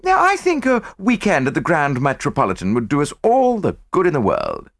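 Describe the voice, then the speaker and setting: A man voicing an eager, well-meaning English gentleman companion with a bright, breezy delivery in an audiobook. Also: on the high side, huge pitch swings, a little fast, gravelly, a little hiss or hum, pitched above the performer's own voice, heavily acted. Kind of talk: audiobook character voice.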